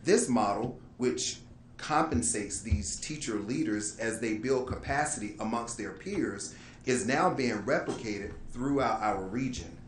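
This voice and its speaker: A man presents a prepared report in a formal, careful reading manner. His voice has a medium pitch and rings in a roomy meeting space, with faint noise underneath. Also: measured pace. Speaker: school district administrator presenting a report. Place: indoor meeting room, public board meeting. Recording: roomy echo, light background noise.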